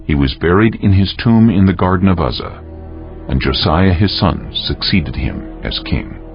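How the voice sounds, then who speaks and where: A man narrating scripture from a script in a solemn, measured, deliberate way. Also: sits low, creaky, breathy edge, dull audio.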